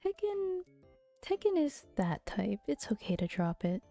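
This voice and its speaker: A woman streaming and chatting close to the mic, her voice soft and gentle and often dropping into a half-whisper. Her tone is mellow, and she teases playfully with frequent giggles. Quiet music plays faintly behind her. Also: high-pitched, big pitch swings, a little slow, vocal fry. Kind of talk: casual chat stream. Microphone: close to a regular mic.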